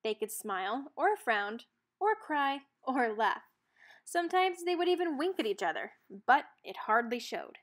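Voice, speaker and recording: A woman narrating a children's storybook aloud in an expressive read-aloud style. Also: sits high, unhurried.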